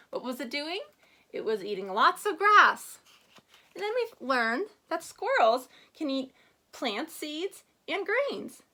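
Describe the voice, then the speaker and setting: A woman with a fairly high, very animated voice teaches a reading lesson to young children. She speaks as an elementary teacher, stressing key words deliberately. She often prompts her listeners with simple questions.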